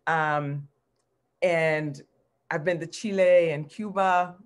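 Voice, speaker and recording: An American woman in her forties giving an informal career talk as a professor, reflective and halting, with emphatic repetitions. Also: sits low, fairly even intonation. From Newark, New Jersey, United States. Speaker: art history professor, guest speaker. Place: indoor room at home, on a video call. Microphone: video call computer microphone.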